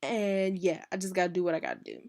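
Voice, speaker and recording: A woman, hoarse and losing her voice from illness, chatting casually through a hair tutorial and often correcting herself. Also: on the low side, wildly swooping pitch, fast talker, a little mellow. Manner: chatty, uses "y'all", self-correcting. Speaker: hairstylist teaching a tutorial.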